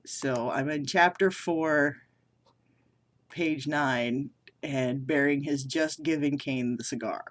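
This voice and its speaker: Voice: mid-range voice, takes it slowly, a bit bright. Rambling vlogger from New England. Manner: rambling, self-deprecating, frequent restarts. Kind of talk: casual chat to camera. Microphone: camera mic.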